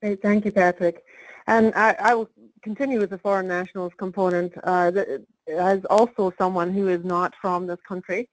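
A woman from Scotland, presenting in a webinar in a brisk, clear and organized way. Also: mid-range voice, level tone, rough recording.

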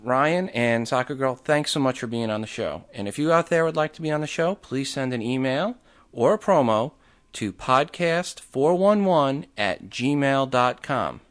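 A man's voice: mid-range voice, lively intonation, normal speed. Man reading an upbeat podcast outro. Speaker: podcast host. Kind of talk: scripted outro.

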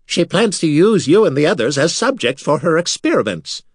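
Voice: mid-range voice, big pitch swings, breathy edge, dry recording, studio quality, voice pushed up. A man voicing an urgent, hasty talking skull in an audiobook. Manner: urgent, hurried. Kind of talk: audiobook character voice.

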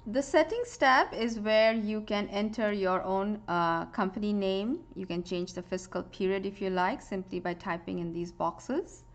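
A woman gives a relaxed screen-recorded walkthrough of a spreadsheet. Her voice sits in a middle pitch, and she talks in a steady, explanatory way with frequent "uh" fillers. Keyboard typing can be heard under her voice. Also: a little slow, hardly any pauses. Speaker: software tutorial presenter.

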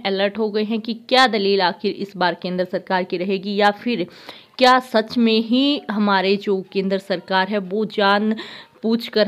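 A woman hosting a YouTube news channel, conversationally with some hesitations and restarts. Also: medium pitch.

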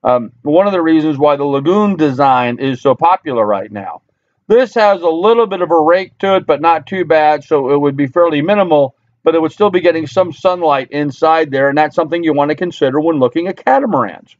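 Casual vlogger, male, middle-aged, American. Slightly high voice, rambling commentary, dull webcam sound. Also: expressive, fairly quick, no room sound. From Long Island, New York, USA. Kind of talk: casual talk-through commentary. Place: small cluttered wooden-ceilinged room indoors. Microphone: computer webcam at desk distance.

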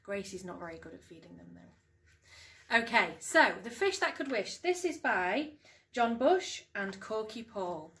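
A middle-aged woman reads a picture book to young children, expressively and deliberately, stressing key words, in a room at home. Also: medium pitch, sing-song, fairly bright tone, a little hiss or hum. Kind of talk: storybook read-aloud for young children. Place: small living room at home.

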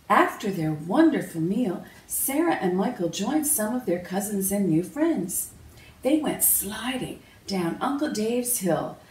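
Slow, lively woman reading a storybook. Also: mid-range voice. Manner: measured, expressive read-aloud delivery. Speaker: author reading own picture book aloud. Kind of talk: children's storybook narration. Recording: roomy, distant mic, faint noise.

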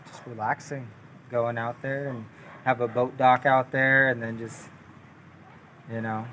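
A man in his thirties with a medium-pitched voice talks informally. He is laid-back and conversational. He is recorded outdoors at a little distance from the camera, with light ambient noise. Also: level tone, a little slow, sharp tone. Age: 30s. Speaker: homeowner showing his building project. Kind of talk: casual chat. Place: outdoors, unfinished block building by the sea. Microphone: camera a couple of metres away.

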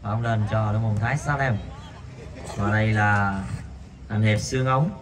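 A man's voice: steady tone, moderate pace, recorded from a distance, some chatter behind. A man commentating a local night football match, reading viewer messages and rising sharply on shots. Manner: reads viewer messages between plays, jumps sharply higher on shots. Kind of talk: live amateur football commentary. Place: outdoor floodlit five-a-side pitch at night.

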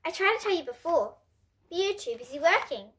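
Young girl playing a calm, knowing storybook mum, high-pitched. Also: fairly quick. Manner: assured, agreeable. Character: calm, knowing, reassuring mother in a children's storybook.

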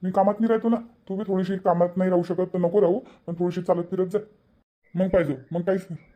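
A man's voice: sits high, little pitch movement, quick pace, dull audio, natural voice. A man playing an older farmer husband in a cartoon, giving homely, repetitive advice with a Varhadi accent. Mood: chiding, proud. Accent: Varhadi, Vidarbha. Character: older hard-working farmer husband, matter-of-fact adviser.

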